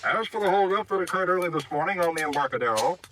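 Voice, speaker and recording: An American actor playing a formal, emphatic newscaster reading the news in 1950s radio style. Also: male voice, high-pitched, expressive, quick pace, fairly bright tone. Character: brisk male radio newscaster. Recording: vintage radio recording, noise and clicks.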